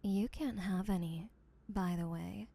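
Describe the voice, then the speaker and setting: A woman's voice: mid-range voice, a little slow, vocal fry, dark tone. A woman playing an obsessive, boastful yandere sibling in a comedic audio roleplay, rambling in a smug, teasing, sweetly menacing way.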